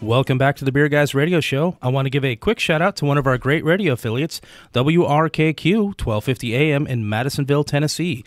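A man's voice: medium pitch, fairly quick, dry recording. A male radio co-host with a mid-range voice talks in a conversational broadcast style, with faint music behind him at times. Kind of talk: radio hosting and announcing.

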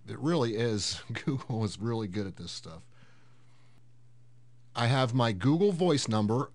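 A man hosting a casual software tutorial, explaining things step by step in an unhurried way. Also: mid-range voice. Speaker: host of a tech tutorial series.

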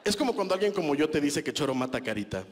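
A man's voice: on the high side, big pitch swings, fast talker, big-room sound. Self-mocking Mexican man doing stand-up. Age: 30s. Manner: conversational, self-mocking, punchy with crude slang. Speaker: stand-up comedian. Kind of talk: stand-up comedy set. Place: small club stage with a live audience. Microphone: handheld mic.

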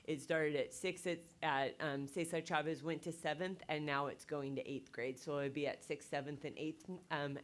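Low-voiced woman presenting to a board. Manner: filler-heavy, explanatory. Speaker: program presenter at school board meeting. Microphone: desk microphone.

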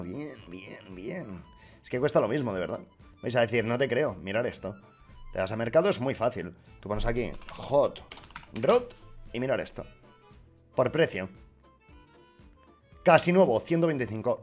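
A man with a Castilian Spanish accent doing casual, foul-mouthed, excitable gaming commentary for his viewers. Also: fairly high pitch. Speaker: YouTuber, gaming streamer. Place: small room with acoustic foam panels. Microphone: boom-arm desk mic close up.

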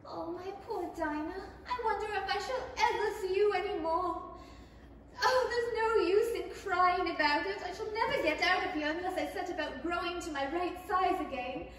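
A very high, girlish voice, polite and bewildered, in the manner of an old radio play. It rings around an echoey hall, some way from the microphone. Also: moderate pace, fairly bright tone. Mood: wistful. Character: Alice, polite, bewildered little girl. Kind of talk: 1930s radio play acting. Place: theatre stage, reading from script. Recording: echoey hall, distant, faint noise.

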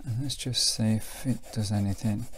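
A middle-aged British man giving a casual, unhurried hands-on commentary as he demonstrates something, thinking aloud as he goes. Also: on the low side, steady tone. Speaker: presenter demonstrating a vintage radio. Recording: faint background noise, clicks of handling.

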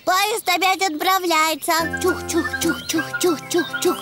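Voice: very high voice, slow. A performer voicing a cheerful, bossy little boy donkey of preschool age in a cartoon dub, with simple, eager childlike phrasing. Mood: playful, announcing. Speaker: cartoon character. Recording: music behind the voice.

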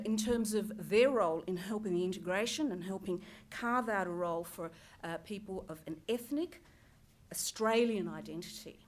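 A woman in her fifties, a journalist from Greece, giving an earnest personal talk at a conference lectern with wry asides, through a headset mic in a large hall. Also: mid-range voice.

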